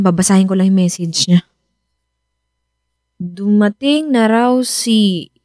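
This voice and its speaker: An actress playing an anxious, defensive wife in a radio drama, with a Filipino accent. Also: female voice, fairly low pitch, a little mellow, dry recording, studio quality. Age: 30s. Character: anxious, defensive wife in her thirties, rattled by her ex.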